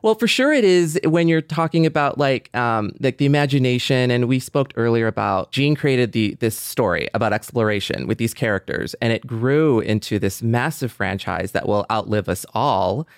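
A man's voice: medium pitch, lively intonation, normal speed, few pauses, no echo, studio quality. A man co-hosting a podcast in a conversational style. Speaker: podcast co-host.

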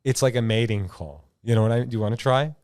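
A man's voice: medium pitch, fast, clear, resonant tone, no room sound, clean studio sound. A man hosting a casual chat show, telling stories in a rambling, slangy way and swearing freely. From Iran. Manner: animated slangy storytelling with frequent "like" and swearing. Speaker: host of a YouTube show.

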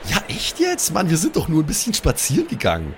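A man's voice: fairly high pitch, huge pitch swings, quick pace, a little hiss or hum. A man improvising role-play as a cocky superhero, brash and slangy, dropping in English words. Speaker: tabletop role-player.

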